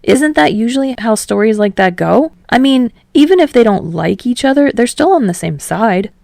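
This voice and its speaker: A woman voicing a friendly, earnest young man in an audiobook story, speaking in a casual, gently coaxing way. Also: fairly high pitch, expressive, normal speed, creaky, no room sound, studio quality, pitched above the performer's own voice. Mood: encouraging.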